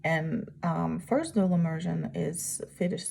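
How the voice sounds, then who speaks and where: A woman speaks in a low, gravelly, husky voice. Her tone is mellow rather than bright. She gives an unscripted presentation, halting and pausing often as she searches for the right words. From Uzbekistan. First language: Russian. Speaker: student presenting a course project.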